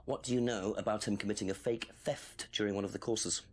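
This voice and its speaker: A man's voice: on the low side, steady tone, fairly quick. A man playing a probing police detective in a mystery game, questioning in a pointed, theatrical way. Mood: inquisitive. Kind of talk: acted interrogation in an FMV game. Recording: faint music under game dialogue.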